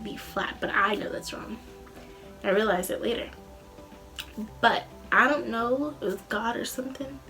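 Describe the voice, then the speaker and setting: A young woman telling a story in a casual, rambling vlog style, animated, with a slightly tired, tense voice. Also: medium pitch. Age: young adult. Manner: animated, rambling, acts out quoted dialogue. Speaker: student singer and vlogger.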